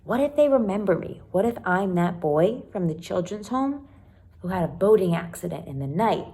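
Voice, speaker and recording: A woman's voice: medium pitch, animated, moderate pace, warm tone, close to an everyday voice. A woman voicing an anxious, haunted boy in a storybook, speaking in a hesitant, uneasy way. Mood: worried. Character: anxious, haunted boy afraid of being recognized.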